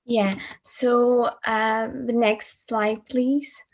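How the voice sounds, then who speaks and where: A woman's voice: a little high, level tone, extremely slow. A young woman from Poland presenting in a student group, speaking carefully with small restarts.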